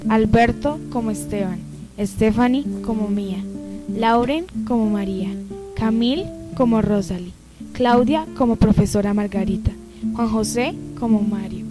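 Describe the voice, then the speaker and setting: A Colombian woman reads scripted credits for a radio drama. Her pitch is on the high side. Loud guitar music plays behind her. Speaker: announcer reading the cast credits.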